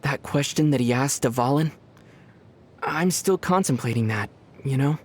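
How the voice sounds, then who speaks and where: A man playing a young male traveler hero in a video game, speaking in a calm, gentle, thoughtful and measured way. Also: mid-range voice, breathy edge. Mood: pensive. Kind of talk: video game character voice lines.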